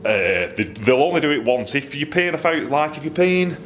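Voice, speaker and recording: A man's voice: a little high, big pitch swings, moderate pace, a bit bright, a little hiss or hum. A man with a Northern English accent talking casually and off the cuff in a workshop video, rambling and thinking aloud in colloquial speech. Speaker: custom exhaust fabricator presenting his own workshop video. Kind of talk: casual, unscripted workshop vlog.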